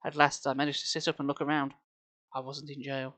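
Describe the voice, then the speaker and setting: A man's voice: mid-range voice, little pitch movement, fairly quick, fairly bright tone. A man reading a story aloud on a live stream, theatrical and playful, with casual asides.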